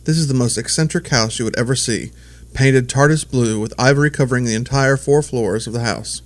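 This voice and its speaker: A man's voice: mid-range voice, fairly quick. A man giving scripted, present-tense narration as the storyteller of an audio drama.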